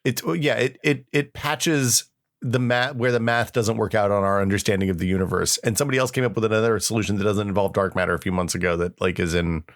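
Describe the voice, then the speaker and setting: Man with a lowish voice, chatting. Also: steady tone, fast. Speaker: podcast co-host. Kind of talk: casual chat.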